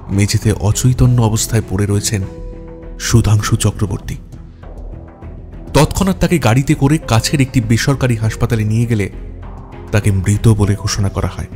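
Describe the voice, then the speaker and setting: A man's voice: mid-range voice, a little mellow. Male detective-story narrator over faint music. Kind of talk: scripted audio story narration. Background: background music.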